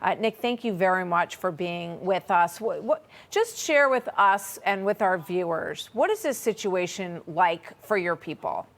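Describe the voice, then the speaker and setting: A woman's voice: fairly low pitch, lively intonation, measured pace, gravelly, few pauses. A woman hosting a broadcast news interview, speaking in an earnest, serious manner. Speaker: news host interviewing a guest.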